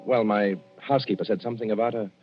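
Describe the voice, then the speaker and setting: An actor playing a guilt-ridden gentleman surgeon, formal yet nervous and halting, in an old-time radio drama. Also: lowish voice, steady tone, faint music behind, natural voice. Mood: guarded. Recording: muffled vintage radio recording.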